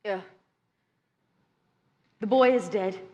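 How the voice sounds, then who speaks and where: A woman's voice: mid-range voice, slow, darkish tone, muffled recording. A woman chatting casually as a player in a live tabletop game. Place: live convention stage show.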